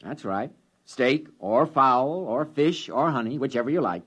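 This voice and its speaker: A man's voice: mid-range voice, expressive, measured pace, husky. An actor playing a practical male expedition leader with a wry, blunt way of talking, in 1950s radio drama style.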